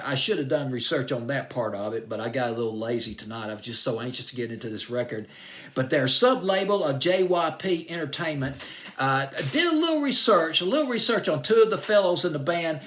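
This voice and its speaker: A man's voice: a little high, expressive, a bit bright. A man with a Southern US accent hosting a music countdown show, talking about a song in a rambling, enthusiastic way. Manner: rambling and enthusiastic, with restarts and drawn-out phrases. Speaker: radio music show host. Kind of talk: chatty countdown commentary.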